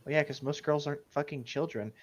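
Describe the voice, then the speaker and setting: A man's voice: fairly high pitch, steady tone, quick pace. Sardonic man on a slightly dull mic. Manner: sweary, sardonic asides. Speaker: co-host of a fan fiction reading show. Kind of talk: casual reading aloud with joking commentary.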